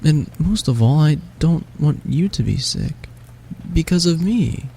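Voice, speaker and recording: A man voicing a sick, frightened young male catboy in an audio roleplay. The catboy talks softly, stammers and pleads. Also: on the high side, lively intonation, a little slow, gravelly, slight background noise. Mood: worried.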